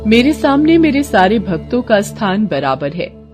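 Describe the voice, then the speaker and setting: A woman's voice: mid-range voice, sing-song, a little slow, music in the background. A woman voicing a righteous, wrathful mother goddess in a devotional story, commanding and scolding as she declaims. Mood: stern, benevolent.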